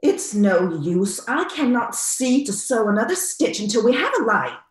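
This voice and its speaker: An actress playing a matronly sister in an old-time radio style ghost drama. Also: female voice, mid-range voice, big pitch swings, moderate pace, reverberant, natural voice. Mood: exasperated. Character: Mrs. Brigham, placid matronly sister turning horrified.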